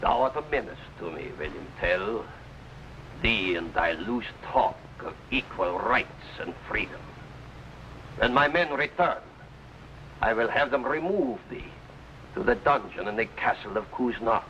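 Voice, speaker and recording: An actor playing a tyrannical, sneering governor who speaks in archaic, threatening phrases in an old adventure serial. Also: male voice, fairly low pitch, lively intonation, a little slow, pushed and bright. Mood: menacing, scornful. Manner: imperious, archaic, threatening speech. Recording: noisy old broadcast recording.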